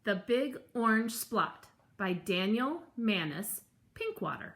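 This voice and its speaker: A woman's voice: medium pitch, very animated, takes it slowly, fairly bright tone. A woman introducing a children's read-aloud as one of the hosts. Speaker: children's museum board member and co-founder, introducing a read-aloud. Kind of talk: introducing a story reading.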